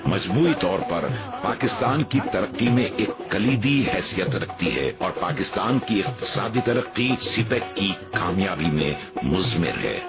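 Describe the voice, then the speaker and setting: A man narrates a documentary in a deep, low voice. His delivery is formal and measured, every phrase carefully weighed. Loud music plays under his voice. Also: animated. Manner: measured, formal Urdu diction.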